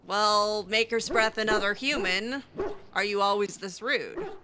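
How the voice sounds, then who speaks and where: A woman's voice: mid-range voice, a little slow, noisy background. A woman improvising in character during a video game playthrough, chatty and hesitant with a cutesy touch. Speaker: roleplay gamer voicing her character.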